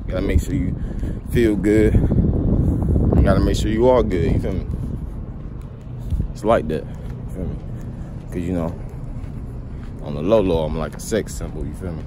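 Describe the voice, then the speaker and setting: A man's voice: deep voice, fairly even intonation, measured pace, long pauses. A young man vlogging into a phone held at arm's length as he walks down a street, rambling casually and asking "you feel me" over and over. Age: young adult. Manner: laid-back rambling, keeps saying "you feel me". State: slightly sick with a cold. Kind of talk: casual walking vlog monologue. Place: outdoors on a street on a sunny day. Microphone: phone held low at arm's length. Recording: outdoor street noise behind. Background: passing traffic.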